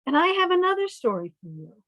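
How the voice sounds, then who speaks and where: A woman storyteller reading picture books aloud to young children, pausing to ask them simple questions. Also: very high voice, sing-song, a bit bright, dry recording, low-quality recording.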